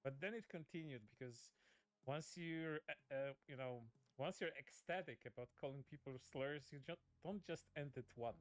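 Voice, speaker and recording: A man's voice: on the high side, normal speed, no echo. A man narrating a commentary video, reading out posts in a dry, sardonic way with some "uh" fillers. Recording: video audio played on stream with faint music. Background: faint background music.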